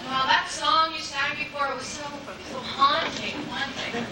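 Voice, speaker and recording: Lovelorn young heroine played by a woman, high-pitched and glum, noisy theater recording. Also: unhurried. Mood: wistful. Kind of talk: stage musical comedy dialogue. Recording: very echoey theater, distant, hissy tape.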